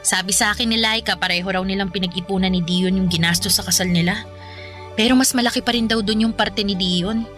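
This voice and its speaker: A woman's voice: mid-range voice, fairly even intonation, quick pace, fairly bright tone, soft background music, natural voice. A young woman with a Filipino accent playing a candid, ambitious, playful girlfriend in a conversational radio drama. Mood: chatty, admiring. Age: young adult.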